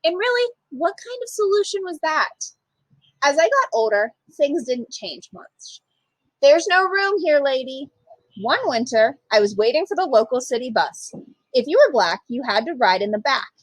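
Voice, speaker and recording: Woman, storytime reader. High, very lively pitch, slightly husky, close dry sound. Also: normal speed, no echo, rough recording. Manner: animated, emphatic storytelling for young children. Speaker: storytime reader, literacy program manager. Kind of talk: expressive children's picture book read-aloud.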